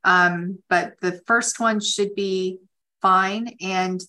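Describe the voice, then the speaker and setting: A woman attorney hosting a conference call, explaining legal points in measured, pausing phrases. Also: medium pitch, steady tone, pushed and bright.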